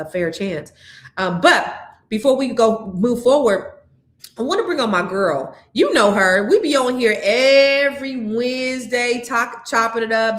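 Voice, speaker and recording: Woman in her fifties, live podcast host, casual slangy talk, echoey room. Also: on the high side. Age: 50s. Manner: lively, slangy, addresses audience directly. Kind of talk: live stream casual chat.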